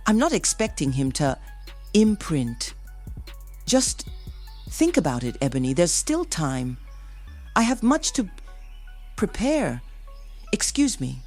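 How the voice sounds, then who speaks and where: Motherly female tribe elder acted by a woman, unhurried, widely swooping pitch, earnest dramatic fantasy delivery. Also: big pitch swings, darkish tone, soft background music, heavily acted. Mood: earnest, pained. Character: solemn, motherly wife of a werewolf tribe chief.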